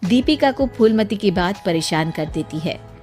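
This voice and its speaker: A woman's voice: mid-range voice, lively intonation, moderate pace, darkish tone, faint music behind. A woman narrating a scripted cartoon story as its storyteller.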